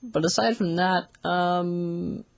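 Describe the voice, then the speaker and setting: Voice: medium pitch, fairly even intonation, takes it slowly, gravelly, dry recording. A reviewer giving a casual spoken audio review, rambling and often repeating phrases to restart. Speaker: series reviewer.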